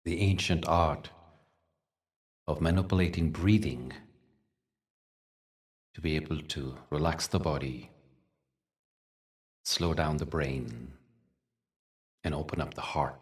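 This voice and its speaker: Very deep-voiced man guiding meditation slowly. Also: far from the mic. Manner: unhurried, gentle, with long pauses between short phrases. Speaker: meditation teacher. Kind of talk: guided meditation with spiritual talk.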